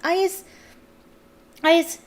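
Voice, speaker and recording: A woman voicing a frightened, squeaky female house-elf who pleads in broken grammar. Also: flat delivery, takes it slowly, a little hiss or hum. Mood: pleading.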